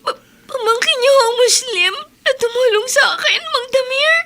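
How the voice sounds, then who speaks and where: A woman's voice: very high-pitched, normal speed, sharp tone. An actress playing an emotional young woman in a radio drama. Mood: distraught.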